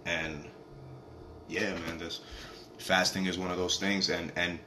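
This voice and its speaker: Young guy w a raspy low voice, rambly vlog talk, bit of noise behind. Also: flat delivery, measured pace. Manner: rambling, repeats "you feel me", swears. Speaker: vlogger. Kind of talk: casual motivational talk to camera.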